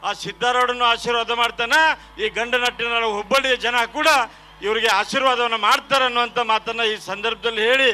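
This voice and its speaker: A man with a North Karnataka accent giving formal stage oratory, declaiming ceremonially phrase by phrase, over loud hum and noise. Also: extremely high pitch, fairly even intonation, a little fast. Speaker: guest speaker at a film promotion event. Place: stage at a public event.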